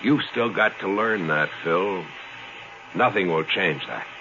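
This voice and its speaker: Low-voiced, cautious space explorer, old radio drama. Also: male voice, takes it slowly. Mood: stern, earnest. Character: principled, cautious male space explorer, moralizing to his partner. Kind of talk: 1950s radio drama acting. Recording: muffled vintage 1950s radio recording.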